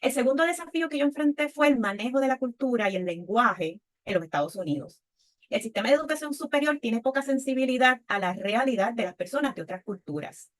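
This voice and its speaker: A woman's voice: fairly high pitch, lively intonation, fast talker. An older woman with a Puerto Rican accent gives a reflective personal testimony on a panel, often checking in with short tag questions. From Puerto Rico. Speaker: panelist, women's leadership speaker.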